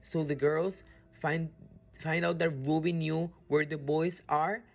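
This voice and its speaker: A woman reading scripted radio drama narration haltingly, correcting small slips as she goes. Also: low-pitched, fairly even intonation, gravelly. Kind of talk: scripted narration, read aloud. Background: music.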